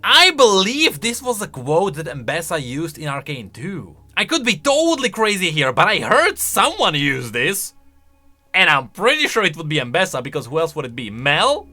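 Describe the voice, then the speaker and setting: A man's voice: a little high, huge pitch swings, moderate pace, pushed and bright, faint music behind. A man giving casual, chatty commentary on video game lore, thinking aloud with emphatic delivery.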